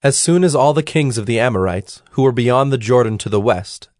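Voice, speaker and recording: A man narrating scripture from a script in a formal, measured storytelling manner. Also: mid-range voice, animated, darkish tone, dry recording, studio quality.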